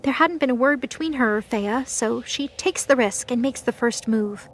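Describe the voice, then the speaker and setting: High-voiced woman narrating a dramatic story. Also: moderate pace. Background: music.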